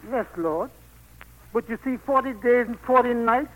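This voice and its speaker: A man's voice: extremely high pitch, a little slow, phone-quality sound. An actor playing a humble, crafty country man in an old radio play, deferential and coaxing. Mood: wheedling. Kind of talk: 1940s-50s radio play acting. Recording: vintage radio broadcast recording.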